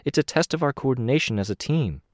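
A man reading an audiobook, voicing an earnest, steady young woman teammate. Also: mid-range voice, quick pace, no room sound, studio quality, voice pushed up, natural voice.

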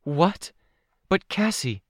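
A man's voice: high voice, sing-song, slow, husky, dry recording, clean studio sound, pitched above the performer's own voice, heavily acted. A man voicing a distraught teenage girl who pleads with an emotional hitch in her voice. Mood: pleading, upset.

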